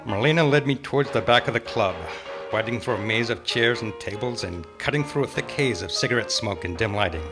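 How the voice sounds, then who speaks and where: A man's voice: medium pitch, brisk, close to an everyday voice. A man playing a world-weary, hard-boiled private eye narrating a noir radio drama. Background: loud music.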